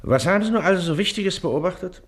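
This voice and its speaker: An actor in a German radio play, playing a pompous, impatient and condescending district official. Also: male voice, high voice, expressive, fast. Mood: impatient, curt. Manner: officious, condescending, easily irritated.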